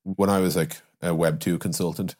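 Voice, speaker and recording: A man's voice: deep voice, steady tone, normal speed, darkish tone. A man explaining his views informally in a casual business discussion, with frequent asides and examples. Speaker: podcast guest, software company strategist.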